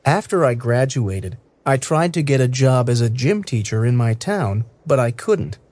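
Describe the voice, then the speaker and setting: A man reading short first-person lines slowly and clearly for English learners. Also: mid-range voice, animated, darkish tone, dry recording. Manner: clear, careful, evenly paced delivery. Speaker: English listening practice reader. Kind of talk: slow scripted reading for learners.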